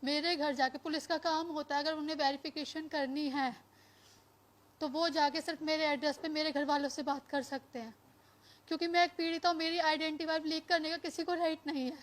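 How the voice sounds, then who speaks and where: High-voiced defiant woman. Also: little pitch movement, fairly quick. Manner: defiant, emphatic, Hindi with some English words. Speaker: complainant making a public statement. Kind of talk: impassioned spoken statement to media.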